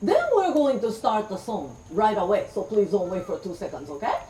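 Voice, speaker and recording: A middle-aged woman from Japan, telling stories to children in a slow, clear way and getting them to repeat words. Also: mid-range voice, sing-song, mellow. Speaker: storyteller. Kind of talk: interactive storytelling for children. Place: room with a live child audience. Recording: roomy, distant sound with faint background noise.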